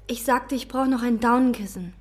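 An actress playing a withdrawn young hotel maid who answers in short words in a radio play. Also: female voice, fairly high pitch, fairly quick, close to an everyday voice. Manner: terse, withdrawn.

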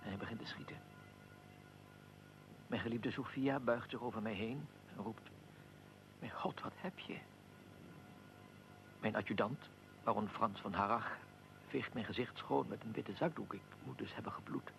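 Radio drama: aristocratic archduke, dignified and weary, very gravelly, formal delivery. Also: male voice, fairly low pitch, normal speed, long pauses, music in the background, rough recording. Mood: anguished, fading. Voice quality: gravelly, creaky. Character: Austrian archduke, aristocratic, weary, recounting his own assassination.

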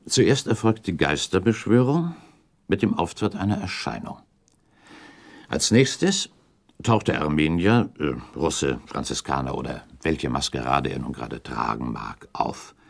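Very deep, creaky, shrewd count. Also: male voice, expressive, full character voice. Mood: analytical, warning. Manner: deliberate, hypothetical reasoning, formal and pointed. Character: shrewd, calculating count advising a prince, diplomatic. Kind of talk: German radio drama acting.

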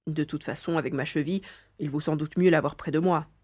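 A woman playing a wary, grumbling sidekick investigator in a science fiction audio drama, with an expressive, questioning delivery. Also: low voice, fairly quick, natural voice. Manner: expressive, questioning, self-talking. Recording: echoey room sound.

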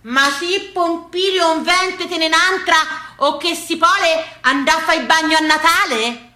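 A man's voice: very high voice, moderate pace, sharp tone, reverberant, voice pushed up, heavily acted. A woman playing a plain-spoken, indignant old Tuscan peasant grandfather in theatrical storytelling. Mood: amazed.

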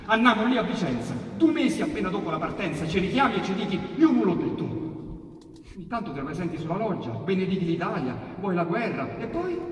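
Attore romano teatrale, voce molto animata. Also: male voice, big pitch swings, fast talker, hardly any pauses. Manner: dramatic, swinging from loud outbursts to hushed low asides. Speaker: reciter of dialect sonnets. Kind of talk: theatrical poetry recitation. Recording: echoey hall, distant mic, faint hiss.

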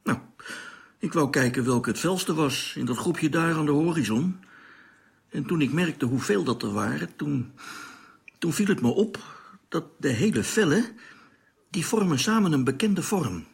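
Nervy, grumbling space crewman for radio drama: creaky, rough, plain-spoken. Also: fairly high pitch, expressive, unhurried, full character voice. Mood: uneasy, wondering.

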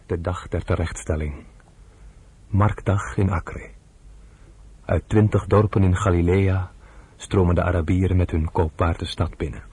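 A man narrating a radio drama in a scripted, dramatic style with a husky, rough voice. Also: deep voice, fairly even intonation, unhurried, long pauses, a little mellow, heavily acted.

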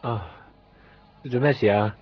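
A man's voice: medium pitch, a little slow, faint music behind, natural voice. An actor playing a curt, evasive young man in a radio drama, speaking Hong Kong Cantonese in a weary, offhand way. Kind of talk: 1990s radio drama dialogue. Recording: muffled 1990s radio drama recording.

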